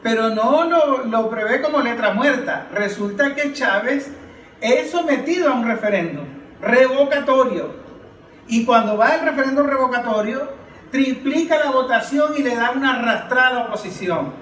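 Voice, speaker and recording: High-pitched man, emphatic political commentator. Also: expressive, moderate pace, a bit bright, roomy sound, distant mic, low-quality recording. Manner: emphatic, repetitive, declamatory. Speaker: political panelist on a podcast.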